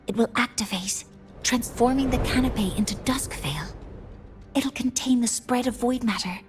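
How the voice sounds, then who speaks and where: A woman's voice: on the high side, darkish tone, lightly acted. A woman playing an earnest young scientist in a video game, speaking formally and precisely as she explains things. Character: earnest young female scientist, briefing her mentor. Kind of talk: scripted game dialogue. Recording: music behind the voice.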